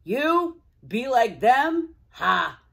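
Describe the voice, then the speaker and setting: A woman's voice: fairly high pitch, sing-song, extremely slow, vocal fry, a bit bright, husky, pitched above the performer's own voice, full character voice. A woman voicing a mean, scruffy old-timer streetcar in a children's storybook, mocking and blunt. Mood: scornful.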